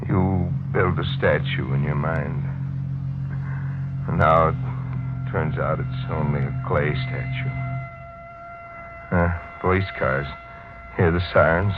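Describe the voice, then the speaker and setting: An actor playing a despairing, fearful criminal on the run in halting, dramatic 1940s radio mystery style. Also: male voice, extremely low pitch, full character voice. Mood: resigned. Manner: halting, dramatic first-person delivery. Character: Jean LeBlanc, despairing fearful criminal on the run, narrating his own end. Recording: muffled old 1940s radio recording, organ music.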